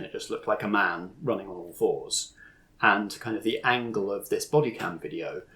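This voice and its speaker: A man's voice: mid-range voice, a bit bright. A man acting as game master, narrating and explaining a tabletop game as he improvises it, thinking aloud.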